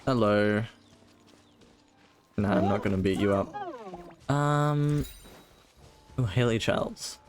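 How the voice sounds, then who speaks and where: A man's voice: lowish voice, slow, long pauses. A man doing casual live game commentary, muttering short playful reactions as he plays. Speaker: video game streamer. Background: game music.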